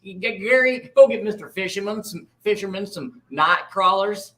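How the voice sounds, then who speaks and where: A man playing an excitable, star-struck small-town fellow in a comedy table read, folksy and gushing. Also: sits high, normal speed. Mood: excited, star-struck.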